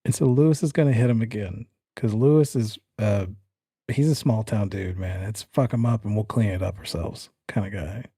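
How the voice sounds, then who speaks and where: A man's voice: fairly low pitch, moderate pace, mellow, slightly rough, no echo, clean studio sound. A man narrating an improvised tabletop horror game as game master, in a casual, slangy and vivid way.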